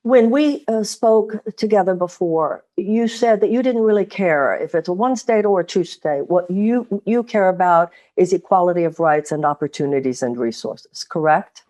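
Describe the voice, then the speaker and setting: A woman hosting a serious political interview, earnest and measured, building her points in long sentences. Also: fairly low pitch, mellow. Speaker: host.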